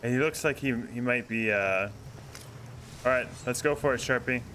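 A man streaming casual gameplay, excitable and giving directions to a teammate. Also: medium pitch, moderate pace. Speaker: gaming streamer. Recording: loud game noise behind voice.

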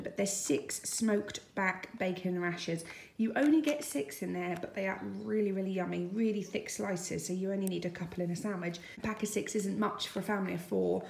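Woman vlogger, homely chatty list-style talk, gravelly fry in the voice, recorded in an echoey room with a faint hiss. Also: medium pitch. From United Kingdom. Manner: chatty and homely, lists items. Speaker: vlogger showing a grocery haul. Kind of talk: casual chat to camera. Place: home dining room, indoors.

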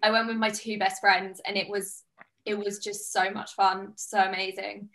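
A woman's voice: mid-range voice, little pitch movement, moderate pace, a bit bright, poor audio. A woman in her twenties from the United Kingdom, a fitness coach chatting enthusiastically on a video call. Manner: chatty, enthusiastic, gestures with hands. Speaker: guest, online fitness coach. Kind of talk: casual video-call chat. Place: small plain-walled room indoors.

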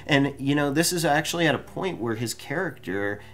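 A man's voice: mid-range voice, animated, fairly quick, fairly bright tone. A man in his thirties co-hosting a comic book podcast, talking animatedly and often restarting his sentences. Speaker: podcast co-host. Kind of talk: casual comic book discussion. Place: small room full of collectibles. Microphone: studio mic on desk stand.

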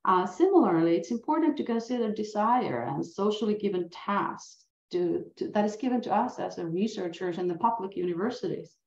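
Finnish-accented woman lecturing over a video call, low gravelly voice, earnest, echoey and kind of muffled. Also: expressive, fairly quick, few pauses, a little mellow. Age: middle-aged. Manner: earnest, reflective, asks many questions. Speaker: university professor. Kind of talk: academic lecture. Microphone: laptop mic on a video call. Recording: roomy, dull-sounding video call.

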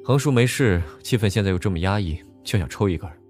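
A man's voice: on the low side, expressive, fast talker, a little mellow, close to an everyday voice. A man playing a bookish young student loner in an audio drama, speaking matter-of-factly. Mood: flat, resigned. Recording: music under the voice.

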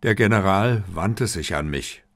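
A man narrating a first-person story from a script in a measured, literary manner. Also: on the low side, lively intonation, fairly bright tone. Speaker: audiobook narrator.

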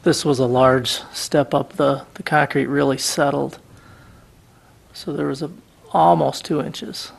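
A man, the public works director, giving a steady report with many ums at a council meeting in a city council chamber. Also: mid-range voice, measured pace, creaky, slightly rough.

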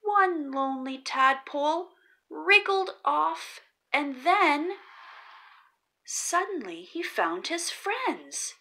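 A woman reading a children's picture book aloud as the channel host, in a slow storytelling manner with drawn-out pauses before each number. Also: sits high, very animated, sharp tone. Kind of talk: read-aloud storytelling for kids.

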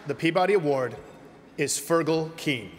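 A man's voice: on the high side, normal speed. A man presenting an award in a formal, announcing manner from a podium in a large ceremony hall. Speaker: ceremony host. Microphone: podium microphone. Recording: long hall reverb, faint noise.

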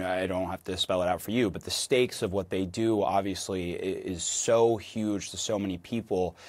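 A man's voice: lowish voice, no echo. An American man in his thirties anchoring a live news interview from a TV studio on a lapel mic.

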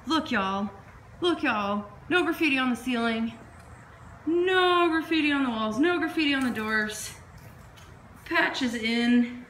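Chatty, excitable woman filming a house tour. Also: high voice, a little slow, a little hiss or hum, low-quality recording. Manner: chatty, excitable, jumps between topics. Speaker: vlogger renovating a house. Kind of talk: casual walkthrough vlog. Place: empty house and yard, indoors and outdoors. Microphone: phone held while filming.

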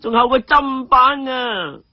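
A man voicing a cheeky, gleeful young martial hero in a story, with mocking chuckles and drawn-out exclamations. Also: very high voice, no room sound, dull audio, voice pushed up. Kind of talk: Cantonese storybook character acting.

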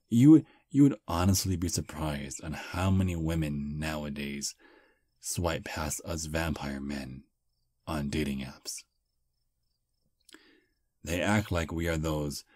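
A man voicing a friendly 215-year-old male vampire on a first date in an ASMR roleplay, soft-spoken and slightly halting. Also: rumbling low, no echo, studio quality, full character voice. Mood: easygoing.

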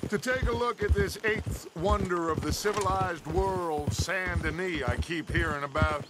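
A man's voice: high voice, measured pace. An actor playing a grandiose, persuasive middle-aged male outlaw leader in a video game, speaking in theatrical, oratorical phrases. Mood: determined. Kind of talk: video game character acting. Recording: loud outdoor noise with horse hoofbeats.

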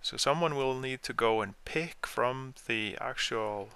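Very bright-voiced man giving a software tutorial. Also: mid-range voice, measured pace, clean tone. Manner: explanatory, step-by-step. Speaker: software trainer. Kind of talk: informal screen-share software tutorial.

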